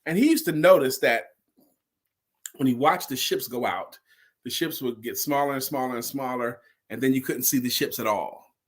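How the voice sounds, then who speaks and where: A man's voice: mid-range voice, moderate pace, breathy edge. A man telling a story in an informal, conversational way, emphatic and repeating key phrases to drive them home. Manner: acts out dialogue in his own retelling. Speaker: storyteller and sales speaker.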